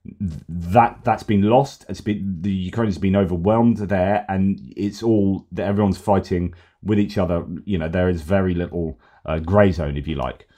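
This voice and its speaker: A man's voice: low voice, steady tone, moderate pace, warm tone. A middle-aged man hosting a casual news commentary from a small home room over a headset, rambling with fillers and restarts, his voice slightly worn. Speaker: host.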